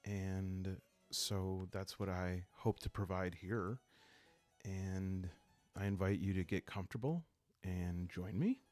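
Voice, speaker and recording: A man speaking calmly and soothingly in an unhurried way, hosting a relaxing role-playing series meant to help listeners fall asleep. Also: low-pitched. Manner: calm, unhurried, soothing delivery. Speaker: host of a solo role-playing video series. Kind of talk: calm, sleep-aid ASMR narration to viewers. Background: background music.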